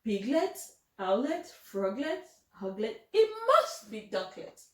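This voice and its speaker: Woman reading as an eager high-voiced young storybook dog. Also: very animated, slow pace. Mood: curious, musing. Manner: eager, curious, musing aloud. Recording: roomy, echoing home recording.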